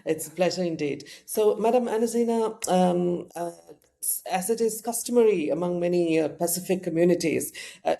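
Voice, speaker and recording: Man hosting a podcast, gravelly, dark mellow tone, slightly high pitch, halting, formal. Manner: halting, many fillers and restarts, formal courtesy. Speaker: podcast host. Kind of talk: hosted interview.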